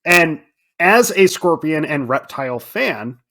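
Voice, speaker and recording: A man's voice: on the high side, very animated, slow, sharp tone. A man co-hosting a film podcast, talking casually and swearing freely as he acts out his own reactions. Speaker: podcast co-host.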